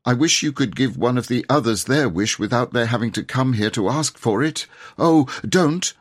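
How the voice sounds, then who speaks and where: A man's voice: medium pitch, expressive, normal speed, pitched above the performer's own voice, natural voice. A man voicing an eager, exasperated young schoolboy in a storybook, earnest and exclaiming. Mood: flustered.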